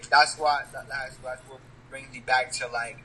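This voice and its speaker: A man's voice: medium pitch, little pitch movement, normal speed, sharp tone, a little hiss or hum, low-quality recording. A man, a rapper talking casually in an interview, leaning on street slang and asking "you get what I'm saying?". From New York.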